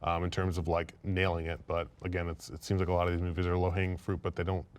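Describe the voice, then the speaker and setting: A man's voice: deep voice, monotone, fast talker, slight background noise. A man talking informally as a panelist on a casual discussion show. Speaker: panelist on a video game and movie discussion show. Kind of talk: casual chat.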